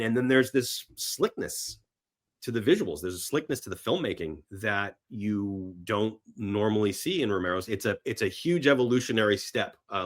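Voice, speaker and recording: A man chatting casually and enthusiastically as a podcast guest about films, halting and rambling, sometimes voicing imagined reactions. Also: lowish voice. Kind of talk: casual film talk in an interview.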